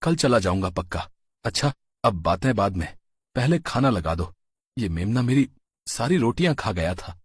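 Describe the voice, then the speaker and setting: Humble, kind-hearted farmer for a cartoon tale, played by a man; husky, earnest, dramatic. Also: lowish voice, sing-song, normal speed, no room sound, studio quality. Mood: fond. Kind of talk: cartoon story character voice.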